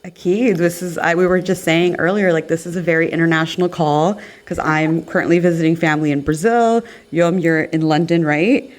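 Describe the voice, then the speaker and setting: A woman with a low, deep speaking voice hosts a relaxed conversation. She talks in an upbeat way and laughs easily. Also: brisk, few pauses. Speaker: podcast co-host. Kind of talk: casual video-call chat.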